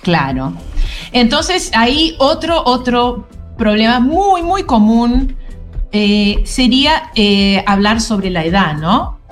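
A woman's voice: fairly high pitch, very animated, takes it slowly, faint music behind. A woman teaching an English class on the radio, explaining patiently and often checking in with a questioning "¿no?".

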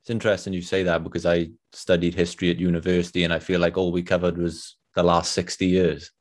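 A young man with a Welsh accent, hosting a podcast interview in an easygoing, chatty way. His voice sits very low. He speaks in a level, even tone with little rise and fall. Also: quick pace. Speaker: podcast host. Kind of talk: casual interview questions.

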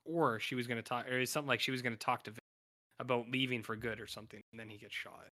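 A man co-hosting a podcast, recapping a TV show in casual chat, his voice raspy and hoarse from talking, rambling with lots of fillers and restarts. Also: mid-range voice, steady tone, fast talker, bright, forceful tone. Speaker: podcast co-host.

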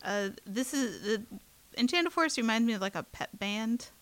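A woman's voice: on the high side, lively intonation, rough recording. A woman co-hosting a podcast, chatting casually in a playful, joking way. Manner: playful, quick to joke. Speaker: podcast co-host. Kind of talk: casual chat.

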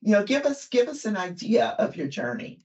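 A woman hosting a podcast interview, unhurried and chatty, with many fillers and frequent laughs. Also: mid-range voice, sing-song, a little mellow, muffled recording. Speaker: podcast host. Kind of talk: interview conversation.

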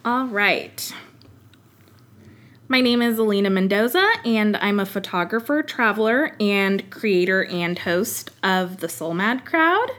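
A woman's voice: mid-range voice, animated, a little slow, a bit bright. A young woman hosting a podcast, talking casually with many fillers. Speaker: podcast host. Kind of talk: casual interview chat.

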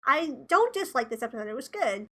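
A woman's voice: high voice, big pitch swings, fairly quick, sharp tone. A woman in her twenties, a podcast co-host, talking in casual chat, sounding nasal and congested from a cold. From Tennessee, USA. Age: 20s.

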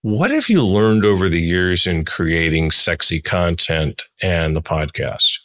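Low-voiced man in his sixties, podcast host. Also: lively intonation, a little slow, a bit bright, dry recording, studio quality. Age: 60s. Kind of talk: conversational interview.

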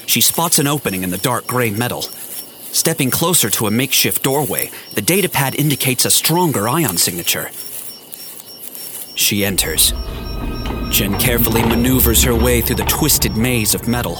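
A man giving a scripted, storytelling narration for a science fiction audio drama. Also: medium pitch. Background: music.

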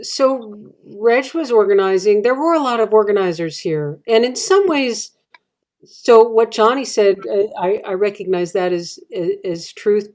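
Mellow-voiced woman speaking haltingly. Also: mid-range voice. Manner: halting, searching for words, many fillers. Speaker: community member and panelist. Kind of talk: casual panel discussion.

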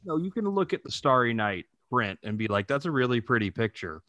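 A man's voice: medium pitch, very animated, fairly quick, a bit bright, no echo. A man co-hosting a podcast in casual chat, talking emphatically and swearing freely. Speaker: podcast co-host.

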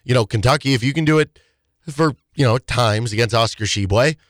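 A man with a hoarse, worn voice talks sports in a loose, rambling way, often restarting his sentences. His voice sounds dry and close, with no room around it. His pitch sits in an ordinary middle range. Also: a little fast, no echo. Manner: rambling, many restarts and fillers. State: hoarse, voice partly lost. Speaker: sports talk show co-host. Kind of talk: casual sports chat.

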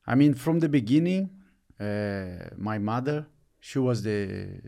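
Brazilian-born man, halting interview answers. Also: on the low side, lively intonation, vocal fry, mellow. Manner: halting, searching for words, many fillers. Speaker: retiring football player, guest.

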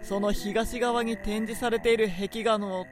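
A woman's voice: on the high side, steady tone, measured pace, slight background noise, close to an everyday voice. A woman playing a haughty, short-tempered young prince in a radio drama, giving brusque, imperious orders. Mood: grudging explanation. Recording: studio drama with background music.

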